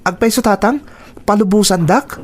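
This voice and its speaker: An aspiring young musician, the respectful son in a radio drama. His voice is a little high for a man, and his delivery is earnest and pleading. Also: moderate pace. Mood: grateful, hopeful. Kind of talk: Ilocano radio drama acting.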